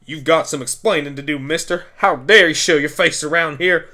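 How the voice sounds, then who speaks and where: Guy doing a tough loud pony mare, big sister type, hurt and scolding, radio play. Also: on the high side, moderate pace. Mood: angry, commanding. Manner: forceful, scolding. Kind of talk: amateur radio play acting.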